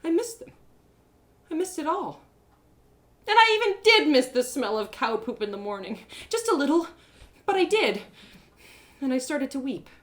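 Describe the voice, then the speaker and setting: A woman's voice: high-pitched, big pitch swings, normal speed, long pauses. A woman storyteller narrating a first-person tale in an emotive, theatrical way. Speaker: storyteller, audio drama author-performer.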